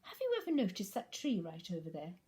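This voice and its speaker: A gentle, simple bear, as a woman reader puts him on recites and muses in a mild, dreamy sing-song. His voice wanders up and down, slow-witted and sweet in a storybook telling. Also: lowish voice, huge pitch swings. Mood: musing.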